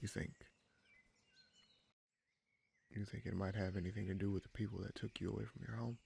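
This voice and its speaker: A man playing an easygoing, protective bandit leader in a fantasy roleplay audio drama, speaking in a halting, conversational way with a gravelly voice. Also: lowish voice, level tone, darkish tone, a little hiss or hum. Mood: pensive, concerned. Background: birdsong, forest ambience.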